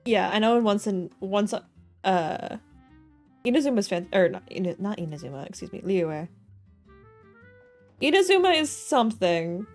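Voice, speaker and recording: Female livestreamer, medium pitch, off-the-cuff playful commentary, soft game soundtrack behind. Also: sing-song, normal speed, long pauses. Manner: playful, thinking aloud. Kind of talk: casual live game commentary. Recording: faint game music behind the voice.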